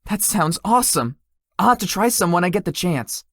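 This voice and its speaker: A woman's voice: fairly low pitch, lively intonation, normal speed, dry recording, clean studio sound. A woman voicing a shy, easily flustered, sweet young man in a visual novel, with a hesitant manner. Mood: friendly, gentle. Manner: hesitant, stammering when embarrassed. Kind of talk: visual novel character acting.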